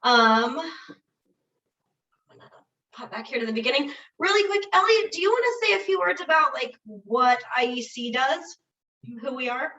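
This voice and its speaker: A woman's voice: high-pitched, lively intonation, bright, forceful tone, reverberant. A woman presenting as a conservation director on a webinar, getting over a cold, with a nasal voice and a casual, friendly way of talking. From Illinois. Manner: casual, friendly, quick asides. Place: home with rural internet. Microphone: computer mic on a video call. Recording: dull distant video call audio.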